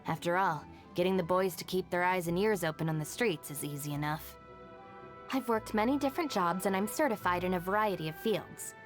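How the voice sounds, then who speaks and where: A woman's voice: on the low side, a little fast, natural voice. An actress playing a level-headed, self-reliant young woman in a video game, speaking in a composed, dry, matter-of-fact way. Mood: dry, unruffled. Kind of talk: scripted video game character voice lines. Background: background music.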